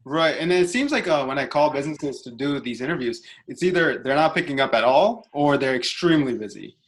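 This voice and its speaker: A man's voice: on the high side, lively intonation, a little fast. A man interviewing casually, with encouraging reactions and hesitant, feeling-his-way phrasing. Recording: faint background noise.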